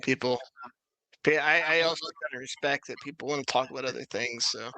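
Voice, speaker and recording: A man's voice: medium pitch, brisk, sharp tone, no room sound, low-quality recording. A man chatting casually as a livestream guest, rambling in a conversational way. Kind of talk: casual chat.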